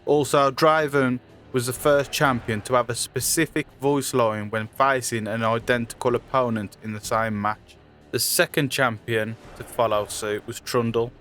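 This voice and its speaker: A man's voice: medium pitch, fairly even intonation, normal speed, fairly bright tone. A man reading scripted trivia narration as the narrator of a video. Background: music.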